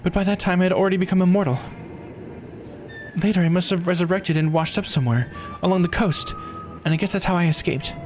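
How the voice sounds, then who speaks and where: A man's voice: sits high, quick pace, darkish tone, slight background noise, voice pushed up, lightly acted. A man voicing a rueful, hesitant immortal woman while reading a visual novel aloud. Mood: rueful recollection.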